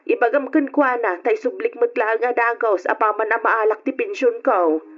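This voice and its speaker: An actress with an Ilocano accent playing a needy, coaxing older mother with a husky voice in an old radio drama. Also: on the high side, normal speed, faint music behind, close to an everyday voice. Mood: pleading, coaxing. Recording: dull, lo-fi old radio recording.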